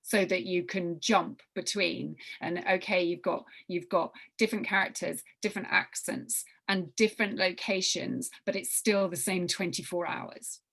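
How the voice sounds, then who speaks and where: A woman's voice: lowish voice, steady tone, normal speed, gravelly, few pauses, a bit bright. A woman leading an informal briefing for writers, emphatic and repeating her phrases as she thinks aloud. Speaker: workshop leader briefing young writers. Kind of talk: informal spoken briefing with question and answer.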